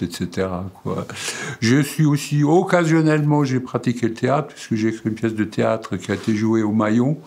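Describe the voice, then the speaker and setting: A man in his eighties from Alsace, whose first language is Alsatian, speaks haltingly about his life, with many fillers and repeated words. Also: on the low side, darkish tone. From Alsace, France. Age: 80s. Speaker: painter and sculptor interviewed. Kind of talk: hesitant reflective monologue.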